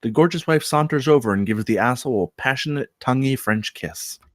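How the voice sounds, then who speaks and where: A man with a medium-pitched voice narrates a screenplay, reading out scene headings and action. He puts deliberate dramatic weight on phrases, and the sound is dry with no room to it. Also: no room sound. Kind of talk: reading screenplay stage directions aloud.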